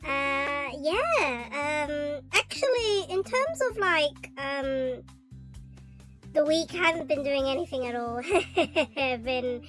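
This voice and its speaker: A woman's voice: high-pitched, lively intonation, slow, fairly bright tone, soft background music. A young woman streaming her art from her bedroom, chatting casually and rambling with lots of fillers, her throat still a little sore from a cough. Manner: rambling and hesitant, with many fillers. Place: bedroom at home.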